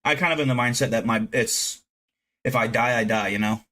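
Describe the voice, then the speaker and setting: A man's voice: medium pitch, a little fast, bright, forceful tone. Rambling, profane man in his thirties. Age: 30s. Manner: rambling, profane, self-deprecating. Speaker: streamer. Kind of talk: casual live-stream chat with viewers.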